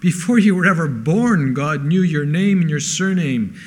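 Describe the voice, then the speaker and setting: A man's voice: a little high, animated, normal speed. A man born in Spain with a mixed international accent, preaching to a congregation with frequent rhetorical questions. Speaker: pastor. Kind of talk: sermon. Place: church service.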